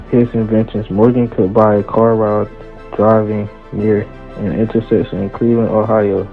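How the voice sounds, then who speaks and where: Man reading narration, tinny phone-like sound. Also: fairly low pitch. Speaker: volunteer reader. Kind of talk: scripted narration, read aloud. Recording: tinny phone-like audio under music. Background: music.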